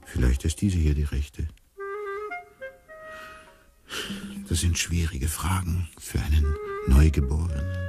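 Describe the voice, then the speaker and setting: An actor playing a bewildered amnesiac man in a radio play, musing inwardly in a hushed, wryly detached way. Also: extremely low pitch. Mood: dreamy, wondering. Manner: hushed, musing, often near whispering. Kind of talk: radio play inner monologue.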